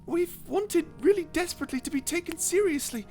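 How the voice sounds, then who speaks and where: Tiny cute mouse, very high-pitched, eager, chirpy, excitable, ASMR roleplay, music behind. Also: a little fast. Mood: eager, earnest. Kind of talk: ASMR roleplay character acting.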